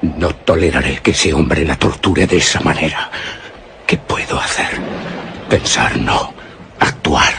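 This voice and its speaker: A man's voice: rumbling low, unhurried, gravelly, full character voice. A man playing a brave, resolute hero in a radio suspense drama, speaking urgently with a Castilian accent. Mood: tense resolve. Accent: Castilian Spanish. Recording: noisy radio drama with sound effects.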